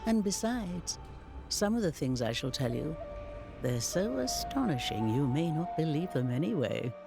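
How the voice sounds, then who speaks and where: An actor playing a storyteller of ancient legends, narrating in a measured, theatrical and deliberate way. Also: male voice, fairly high pitch, sing-song, vocal fry, music in the background. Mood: reflective, confiding. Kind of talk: dramatic scripted storytelling narration.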